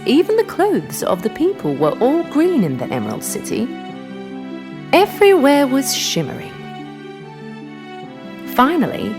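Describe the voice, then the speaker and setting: A woman narrating a scripted children's fairy tale in an expressive, dramatic way. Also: high-pitched. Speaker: storyteller, narrator. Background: music.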